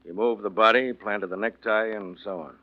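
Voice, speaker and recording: An actor playing a courteous, matter-of-fact police investigator who plainly explains a case in a 1940s radio drama. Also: male voice, lowish voice, moderate pace, a little hiss or hum, close to an everyday voice. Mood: brisk, factual. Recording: muffled vintage 1940s radio recording.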